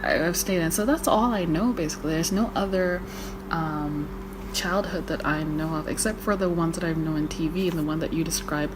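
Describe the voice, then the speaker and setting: A woman's voice: sits low, a little fast, noisy background. Chatty young woman from Malaysia. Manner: chatty, swearing, many fillers. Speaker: podcast co-host. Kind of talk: casual chat.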